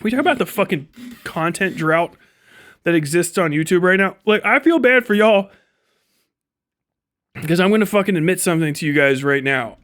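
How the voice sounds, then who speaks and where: Higher-voiced, foul-mouthed male streamer. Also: a bit bright, no room sound, clean studio sound. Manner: foul-mouthed, frequent heavy swearing. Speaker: livestream host and content creator. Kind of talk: casual livestream chat, ranting. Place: indoor home streaming setup. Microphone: close studio microphone.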